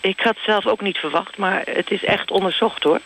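A man's voice: high voice, a little fast, a bit bright, poor audio. A man playing a flustered, vague executive's wife who talks hesitantly and evasively in a mock radio interview.

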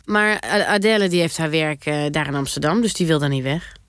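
A woman playing a chatty, obliviously earnest interviewee in a deadpan radio comedy, rambling in a hesitant, matter-of-fact way. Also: sits low, a little fast, no room sound, studio quality. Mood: earnest, unbothered.

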